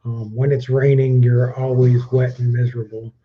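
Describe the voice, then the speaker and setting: A man's voice: medium pitch, a little hiss or hum. A man in his fifties co-hosting a livestream, chatting casually through a question game and often restarting with ums. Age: 50s. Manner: even, measured, restarts with ums. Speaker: co-host of a livestream. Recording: dull lo-fi stream audio.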